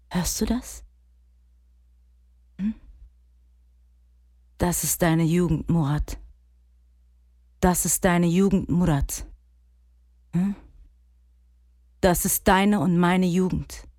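An actress in a radio drama, speaking softly in a husky, breathy voice and dropping into whispers, calming a young man. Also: low voice, no echo, studio quality, heavily acted. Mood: hushed. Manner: soft, incantatory, drops to whispers. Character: calm woman soothing a young man.

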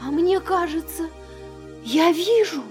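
A woman's voice: extremely high pitch, slow pace, over music. An actress playing an outspoken young daughter in a radio play, girlish and pert in manner. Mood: curious. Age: young adult.

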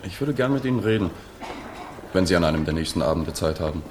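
A man's voice: deep voice, expressive, fast talker, a little hiss or hum. An actor playing a reserved male journalist in a radio play, narrating and asking questions in a sober, understated way. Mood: tentative, inquiring. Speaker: narrator and reporter figure.

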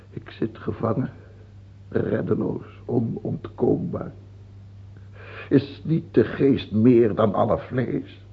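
A man's voice: medium pitch, creaky, slightly rough, heavily acted. An actor playing a gaunt, morbid old French king in a theatrical radio play, rambling in self-pity and ranting imperiously. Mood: morbid brooding. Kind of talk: theatrical radio drama acting. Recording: dull, lightly noisy old radio recording.

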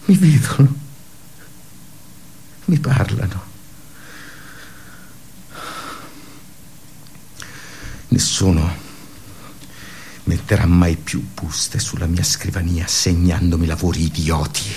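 An actor playing a wounded, despairing office worker in an intense, halting radio theatre monologue, with a creaky voice. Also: male voice, deep voice, slight background noise. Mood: hushed, bitter relief. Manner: halting, intense, shifting from hushed murmurs to anguished outbursts. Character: wounded, despairing office worker recounting his suicide attempt.

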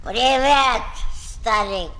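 A woman's voice: on the high side, extremely slow, pushed and bright, slight background noise, full character voice, poor audio. A woman playing a malicious old toad witch who chants spells and taunts in a children's audio play. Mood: spiteful.

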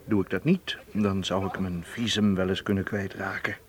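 A man's voice: sits low, flat delivery, normal speed, lightly acted. An actor in a Dutch radio play playing a wry, unruffled, mildly roguish man. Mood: wry, nonchalant.

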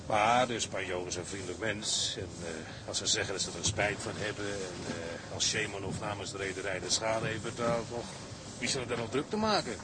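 A man's voice: fairly low pitch, moderate pace, hardly any pauses, lightly acted. Man acting wry seasoned seaman over ship noise. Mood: wry, resigned. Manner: matter-of-fact, wry storytelling. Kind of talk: radio drama dialogue.

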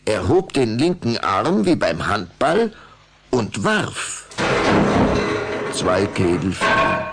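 Ein Mann mit rauer, heiserer Stimme erzählt als Erzähler eines Kinderhörspiels eine Vampirgeschichte, dramatisch und nach Skript. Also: male voice, on the low side, sing-song, slow, pauses often, a bit bright, full character voice. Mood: suspenseful narration. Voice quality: gravelly, husky. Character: storyteller of a children's vampire tale. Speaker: narrator. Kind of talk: scripted dramatic narration.

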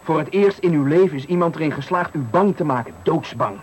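An actor playing a menacing, coolly polite stranger who taunts and gives orders, in radio thriller acting. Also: male voice, on the high side, animated, brisk, a little mellow, close to an everyday voice. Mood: smug, taunting. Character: menacing male stranger, sadistic trickster at a bus stop. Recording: noisy 1980 radio play recording.

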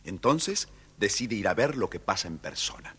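A man's voice: fairly low pitch, sing-song, normal speed, heavy background noise. A man reading a scripted children's story as its narrator.

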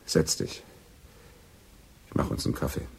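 An actor playing a brooding, fatalistic killer and lover in a German radio drama, husky and intense. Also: male voice, moderate pace, a little mellow, close to an everyday voice. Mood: subdued, tender.

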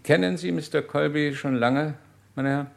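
A man playing a calm, authoritative police inspector in a German radio crime drama, with measured, courteous and commanding questioning. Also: fairly high pitch, vocal fry. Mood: businesslike.

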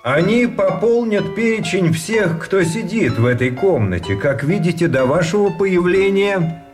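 An actor playing a weary, fatalistic prisoner in a radio drama, speaking in measured, polite, deliberate phrases. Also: male voice, high voice, big pitch swings. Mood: insistent, courteous. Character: weary, fatalistic imprisoned private explaining grim rules. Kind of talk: Russian radio drama acting. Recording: music under the voice.

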